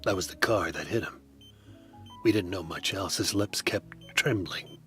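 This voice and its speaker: A man narrating in hard-boiled first person as a detective, with a dry, wry, deadpan delivery. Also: deep voice, measured pace, faint music behind.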